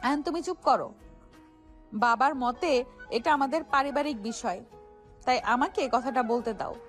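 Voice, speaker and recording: A wronged young wife voiced by a woman in a romantic audio drama. Her high voice is firm and defiant, confronting others over faint music. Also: normal speed, natural voice.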